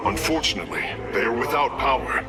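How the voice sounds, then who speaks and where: A man playing an earnest, deferential alien engineer in a video game, speaking in a formal, measured, technical way. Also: medium pitch, very animated, over loud music, natural voice. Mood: focused, informative. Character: earnest, deferential male alien engineer serving his commander. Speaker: engineer and battle advisor.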